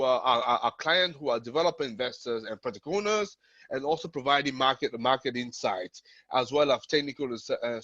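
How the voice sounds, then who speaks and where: A man's voice: mid-range voice, fairly bright tone, dry recording. A man giving an informal webinar presentation as a company founder, repeating words and checking in as he goes. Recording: dull, lo-fi online call audio.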